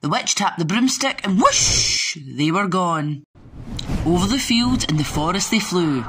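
Woman, lowish voice, very animated, dramatic storyteller, children's picture book reading. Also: background noise. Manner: dramatic, deliberate.

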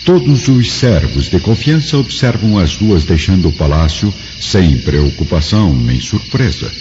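Deep-voiced, expressive male narrator. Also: measured pace, background noise. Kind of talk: dramatic scripted audiobook narration. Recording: muffled lo-fi audio under music.

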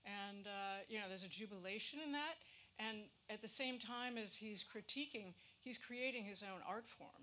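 A woman's voice: medium pitch, normal speed, bright, forceful tone. A woman giving informal commentary at a live event in an auditorium. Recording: faint background noise, live room.

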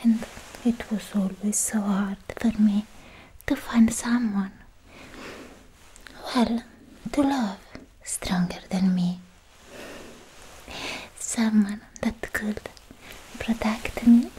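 A woman playing a shy, lovestruck young demon slayer in a whispered, halting ASMR roleplay, sweet and romantic, close on the microphone. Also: medium pitch, slight background noise, natural voice, rough recording. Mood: shy, wistful. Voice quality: soft, breathy whisper. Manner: hesitant, bashful, halting with many pauses.

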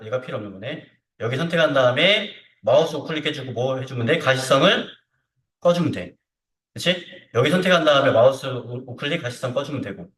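A man teaching software step by step in an informal way, often checking in with short tag questions. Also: medium pitch. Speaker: CAD instructor. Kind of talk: hands-on software tutorial to students. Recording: roomy echo, distant mic.

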